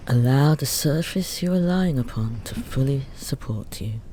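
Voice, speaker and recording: A meditation teacher gently guiding a Yoga Nidra relaxation, soothing and unhurried, with long pauses between phrases. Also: mid-range voice. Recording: steady ambient noise under the voice. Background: ocean waves.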